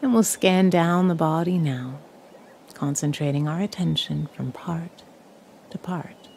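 A woman leading a guided meditation in a calm, soothing, measured way, leaving long pauses. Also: sits low, gravelly, slight background noise.

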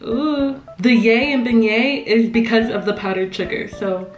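A woman's voice: fairly high pitch, unhurried, echoey room, faint music behind, low-quality recording. A young woman chatting casually and mocking herself as she cooks in a small home kitchen, filmed by a camera on the counter. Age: young adult. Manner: bubbly, chatty, self-mocking. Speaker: vlogger. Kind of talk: casual cooking vlog.

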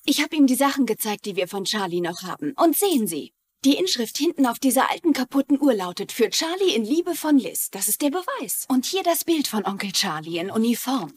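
Casting: chatty, soothing wife, high-pitched with a husky edge, TV crime drama. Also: female voice, big pitch swings, fairly quick, no room sound. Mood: helpful, explanatory. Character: placating, chatty wife, helpful to a visitor. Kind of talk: German dubbed TV crime series acting.

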